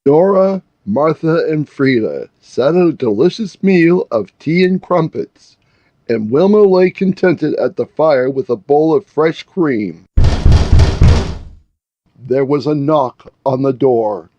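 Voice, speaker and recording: A man narrating a scripted fairy tale as a storyteller, in a measured way. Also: on the high side, expressive, dull audio, faint music behind. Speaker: author and narrator.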